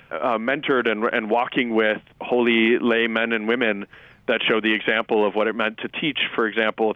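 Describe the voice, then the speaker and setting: A man, as a soft-spoken priest on narrow radio audio. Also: lowish voice, smooth, no room sound. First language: Lithuanian. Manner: reflective, measured, with frequent fillers and restarts. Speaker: Jesuit priest and pastor, guest. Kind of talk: radio interview.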